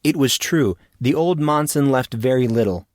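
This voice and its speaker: A man narrates a fiction audiobook in a medium-pitched voice. The delivery is scripted storytelling, and the sound is very dry, with no room around it. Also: animated, normal speed, darkish tone, no echo.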